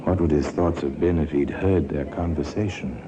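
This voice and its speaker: A man's voice: extremely low pitch, normal speed, muffled recording, noisy background. A man narrating a 1950s radio crime drama in a ruminative, wry, insinuating manner, as a knowing storyteller. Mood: ominous, measured. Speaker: narrator and host of a crime anthology. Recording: old radio recording with music.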